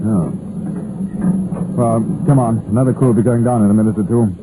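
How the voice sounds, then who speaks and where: An actor playing a composed, sombre police inspector, measured and understated, in an old radio drama. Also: male voice, mid-range voice, fairly even intonation, close to an everyday voice. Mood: businesslike. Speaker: narrator and lead detective. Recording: muffled old radio broadcast, hissy.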